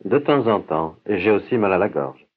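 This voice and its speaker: A man playing a polite patient with flu in a scripted language-course dialogue, speaking slowly and clearly, each line said twice. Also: low-pitched, animated. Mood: tired. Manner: slow, careful, clear diction. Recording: tinny, narrow-band old course recording.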